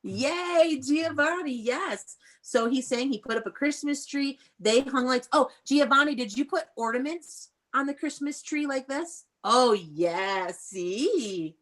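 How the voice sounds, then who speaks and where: A woman's voice: sits high, animated, slow, hardly any pauses, fairly bright tone. An American woman teaching young children in an interactive read-aloud over a video call, praising and prompting them with questions. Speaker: elementary school teacher.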